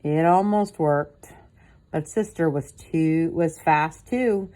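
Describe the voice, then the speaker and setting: A woman's voice: deep voice, slow pace. An older woman, a grandmother, reading a bedtime story expressively to a child and adding casual comments of her own. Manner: expressive, commenting as she reads.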